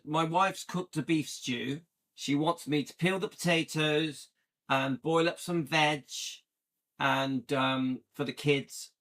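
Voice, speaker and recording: A man's voice: fairly high pitch, bright, forceful tone. A man in his late fifties from the UK, chatting casually and enthusiastically with viewers on a livestream and often checking in with "yeah?". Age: 50s. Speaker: livestream host.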